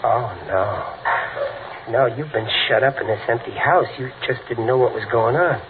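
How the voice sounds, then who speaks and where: A man's voice: mid-range voice, measured pace, lightly acted. An American actor playing a kindly, plain-spoken working man in a 1940s radio comedy, with a folksy, hesitant, earnest way of talking. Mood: earnest, gentle. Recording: tinny, band-limited old radio with faint noise.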